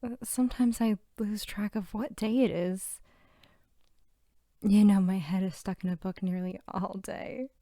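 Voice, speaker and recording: A woman playing a sweet, bookish, playful archivist girlfriend in an intimate ASMR roleplay, soft-spoken and teasing. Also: medium pitch, fairly even intonation, measured pace, no room sound, clean studio sound, close to an everyday voice. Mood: cozy, affectionate. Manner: soft-spoken, often near whisper, giggly, teasing.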